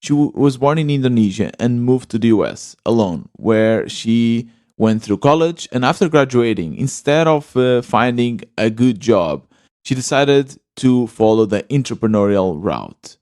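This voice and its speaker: A man hosting a podcast in a plain, mid-pitched voice, earnest and thinking aloud as he goes, with small restarts. The sound is dry and close, with no room around it. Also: animated, measured pace, a little mellow, dry recording. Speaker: podcast host. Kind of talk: solo podcast monologue.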